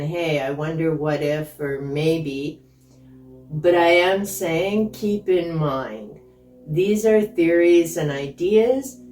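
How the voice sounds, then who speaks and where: A woman's voice: on the low side, darkish tone, far from the mic. A woman whose first language is Hungarian, talking casually and skeptically about books she read, rambling with side thoughts. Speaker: book vlogger. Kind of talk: casual book review talk. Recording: echoey room, voice from off-mic.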